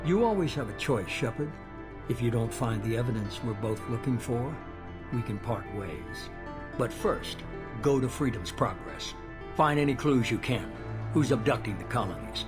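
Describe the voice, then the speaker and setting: Casting: male actor as a composed, powerful mastermind for a game, mid-range voice, deliberate delivery, prominent music underneath. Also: medium pitch, lively intonation, lightly acted. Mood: calm, commanding. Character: calm, commanding, shadowy male organisation boss. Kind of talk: video game voice acting. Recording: loud music behind.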